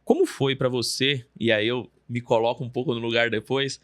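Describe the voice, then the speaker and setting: A man with a Brazilian Portuguese accent who hosts a podcast, asking questions in a relaxed, chatty way full of filler words. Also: mid-range voice, moderate pace, dry recording. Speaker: podcast host. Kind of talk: casual podcast interview.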